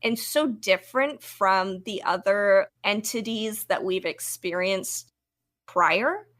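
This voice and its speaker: Bright crisp-edged girl on a podcast, chatting casually, takes her time. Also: medium pitch, slow. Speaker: podcast co-host.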